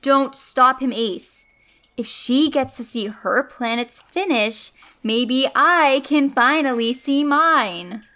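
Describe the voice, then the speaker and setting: Wistful female crewmate: very high, bright, slow and pleading. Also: exaggerated voice.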